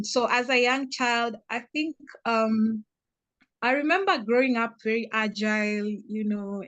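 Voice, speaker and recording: A young adult woman from Kenya with a Kenyan accent, a guest telling her life story with many pauses and restarts. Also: a little high, fairly bright tone, no echo, lo-fi sound. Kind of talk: casual interview answers.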